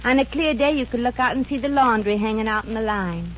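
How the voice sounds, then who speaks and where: A muffled old radio comedy recording carries a plucky young Brooklyn woman, played by an actress. Her voice sits fairly high, and she talks in brassy, ungrammatical slang with a wisecracking edge. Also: brisk, a bit bright, slight background noise, natural voice. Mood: chatty, wry. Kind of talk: 1950s radio comedy acting.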